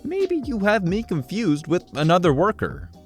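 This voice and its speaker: A nervous, polite young shop worker in a narrated online story, speaking a touch higher than the teller, as a man puts him on. His replies are meek and respectful. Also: wildly swooping pitch, normal speed, soft background music.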